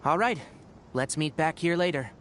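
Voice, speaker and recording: An actor playing a calm, methodical expedition leader in an anime video game, speaking in a measured, formal way. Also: male voice, fairly high pitch, slight background noise. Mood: composed.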